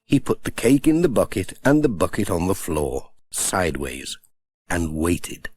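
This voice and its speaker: Guy reading a kids story, lowish voice, lots of up and down. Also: big pitch swings, a little slow, a little mellow, husky. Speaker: audiobook narrator. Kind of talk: children's story narration.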